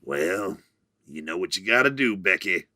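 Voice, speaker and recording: A man playing a sleazy, fast-talking male hustler with brash salesman patter. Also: low voice, animated, bright, forceful tone, dry recording, studio quality, heavily acted. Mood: scheming.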